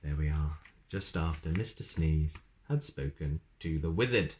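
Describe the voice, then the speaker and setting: Low-voiced, animated man reading stories. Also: unhurried, slight background noise. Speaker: storybook reader. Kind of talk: children's story narration with asides to the viewer.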